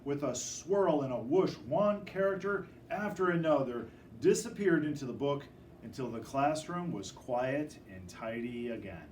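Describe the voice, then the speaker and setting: Male picture-book reader, mid-pitched and very animated, theatrical delivery, faint noise behind. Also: measured pace, roomy sound. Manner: expressive, dramatic storytelling. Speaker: storybook reader. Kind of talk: children's picture book read-aloud. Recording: distant microphone with faint background noise.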